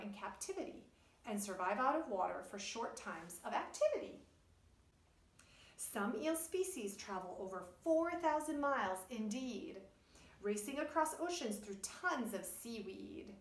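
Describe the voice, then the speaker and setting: A middle-aged woman, a preschool teacher reading aloud and explaining things to young children in an animated way. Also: mid-range voice. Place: indoors, wood-panelled room by a puppet stage. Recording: roomy echo, distant microphone.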